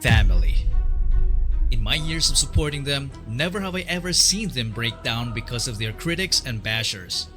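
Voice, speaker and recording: A man's voice: mid-range voice, animated, normal speed. A man giving scripted, dramatic documentary narration. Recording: narration over loud music.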